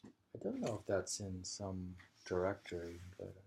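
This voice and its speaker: A man reading poetry aloud and commenting on it informally, in a halting, musing way as he thinks aloud. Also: sits low, very animated, creaky, a little mellow, a little hiss or hum.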